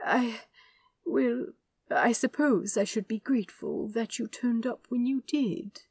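A woman's voice: a little high, takes it slowly, a little mellow, slightly rough, no echo, pitched above the performer's own voice, heavily acted. A woman reading aloud and voicing a prim, polite male angel with a British accent, fussy and old-fashioned in his phrasing. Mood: resigned.

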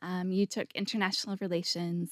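A woman's voice: on the low side, level tone, fast talker, no echo, studio quality. A woman hosting a podcast who asks her guests questions and answers them with many okays. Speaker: podcast host. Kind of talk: interviewing guests.

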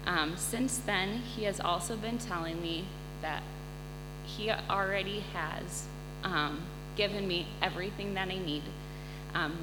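A woman with a mid-pitched voice shares a personal testimony with an audience. She speaks earnestly and haltingly, pausing between phrases. The recording carries long hall reverb and a clear mains hum. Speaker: missionary and incoming resident assistant. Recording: echoey hall, loud electrical hum.

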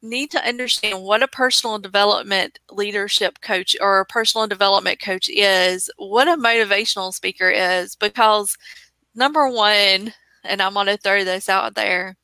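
Bright, enthusiastic woman podcast host. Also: mid-range voice, steady tone, normal speed, no room sound, poor audio. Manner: enthusiastic and effusive. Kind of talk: casual interview chat.

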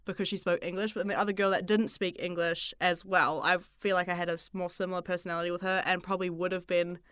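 A woman's voice: on the low side, level tone, fast, fairly bright tone, clear, resonant tone, dry recording, studio quality. A young woman with a New Zealand accent, chatting casually on a student podcast, giggling often and filling her speech with "like". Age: young adult. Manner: chatty, giggly, lots of "like" fillers.

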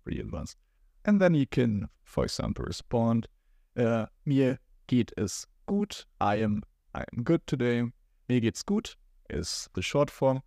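A man's voice: mid-range voice, sing-song, a little slow, creaky, warm tone, no echo, clean studio sound. A young man with a German accent, chatting as a podcast guest, hesitant and thinking aloud. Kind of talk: casual podcast chat.

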